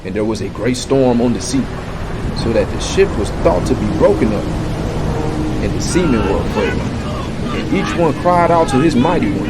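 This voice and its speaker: A man's voice: medium pitch, heavy background noise. A man narrating scripture in a dramatized, scripted style. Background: music.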